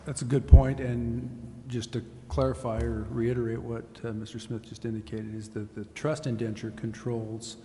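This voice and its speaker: A man speaking as a city official at a public meeting in a formal, measured and hesitant way, choosing his words carefully. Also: medium pitch, little pitch movement, dark tone. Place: large indoor council chamber. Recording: echoey meeting chamber, faint hiss.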